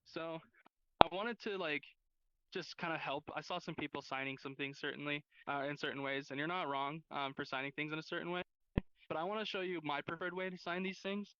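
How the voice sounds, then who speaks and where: A man's voice: on the high side, steady tone, brisk, bright, forceful tone, highs cut off, slight background noise. A man teaching an informal sign language class in a casual, rambling, slangy way over online voice chat. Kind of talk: informal lesson. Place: online virtual class hub.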